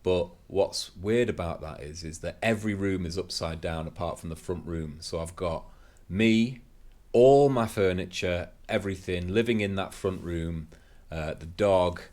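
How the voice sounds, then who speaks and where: A man's voice: sits low, a little slow, hardly any pauses, a little mellow. A man, co-hosting a casual podcast chat from a home workshop, rambling with lots of fillers. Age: 30s. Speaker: podcast co-host.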